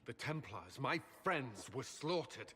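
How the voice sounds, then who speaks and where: An actor voicing a troubled male soldier commander in video game dialogue, haunted and guilt-ridden, speaking in a strained, halting and intense way. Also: medium pitch, big pitch swings, bright, forceful tone, long reverb tail, a little hiss or hum, heavily acted. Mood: anguished.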